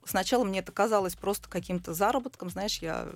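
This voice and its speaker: A middle-aged woman from Israel talks as a guest in a radio studio. She rambles through her stories, laughs now and then, and her voice sits at an ordinary middle pitch. The sound is dry and close. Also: quick pace, dry recording. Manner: chatty, rambling, laughs often. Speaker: guest costume designer. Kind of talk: casual interview talk.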